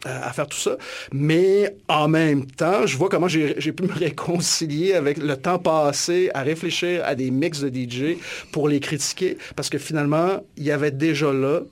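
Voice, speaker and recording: A man's voice: fairly high pitch, normal speed. A man with a Quebec French accent, an author and academic being interviewed, talking thoughtfully and often restarting his sentences. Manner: thoughtful, many restarts and hesitations. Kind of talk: reflective interview talk.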